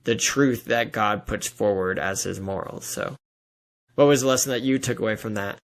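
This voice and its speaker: A man's voice: lowish voice, normal speed. A man hosting a podcast, casually discussing faith in an earnest, explanatory way peppered with "like". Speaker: podcast host.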